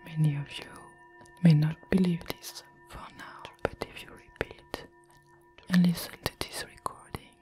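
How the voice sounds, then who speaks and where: A woman whispers with a French accent, as in an ASMR meditation. She speaks very slowly and soothingly, leaving long pauses between phrases. Music plays behind her. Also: deep voice, steady tone, dark tone. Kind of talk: whispered ASMR guided affirmation.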